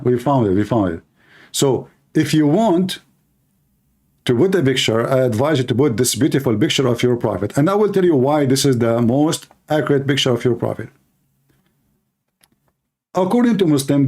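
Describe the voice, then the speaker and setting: Male live teacher, Arabic accent, native Arabic speaker. Mid-pitched, dark tone, informal and mocking, leans on rhetorical questions. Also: animated, normal speed. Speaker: live stream host and religious polemicist.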